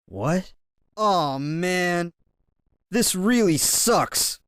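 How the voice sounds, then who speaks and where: A cocky, brooding young male fighter from a fighting game. His short lines are delivered slowly and dramatically, with big swings in pitch. The recording is close and dry. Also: animated, a bit bright, smooth, no room sound, exaggerated voice. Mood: awkward, annoyed. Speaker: video game voice actor. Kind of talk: fighting game character voice clips. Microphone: close dry studio recording.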